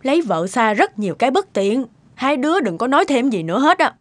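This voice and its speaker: A woman's voice: high-pitched, sing-song, a little fast, no room sound. A woman with a southern Vietnamese accent voicing a stubborn, disapproving middle-aged mother in a family cartoon, emphatic and scolding.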